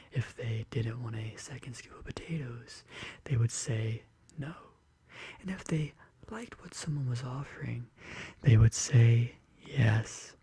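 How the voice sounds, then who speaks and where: Bedtime-story ASMR narrator: very breathy, soft whisper, dark and mellow tone, gentle deliberate delivery. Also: mid-range voice, no room sound. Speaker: ASMR video host. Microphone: close studio condenser microphone.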